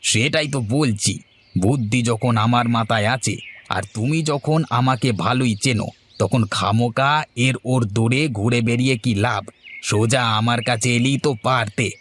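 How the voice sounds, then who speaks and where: A man's voice: mid-range voice, unhurried, a bit bright, slight background noise, natural voice. A man voicing a crafty, self-important village advisor in a cartoon, boastful and cajoling, with rhetorical questions. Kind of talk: cartoon voice acting.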